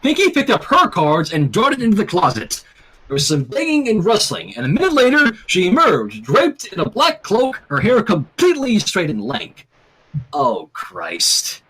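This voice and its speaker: A man's voice: high-pitched, wildly swooping pitch, normal speed, a bit bright, slightly rough, rough recording. A man reading a fan fiction story theatrically, breaking in with casual asides. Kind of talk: animated story narration with asides.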